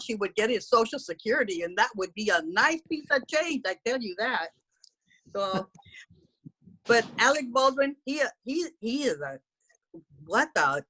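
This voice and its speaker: A woman doing a loud, gossipy housekeeper who dishes on her celebrity bosses in blunt, broken English. Her voice sits a little high for a woman, chatty and unfiltered. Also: breathy edge, poor audio. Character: brash middle-aged Mexican woman housekeeper, gossipy. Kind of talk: character stand-up comedy.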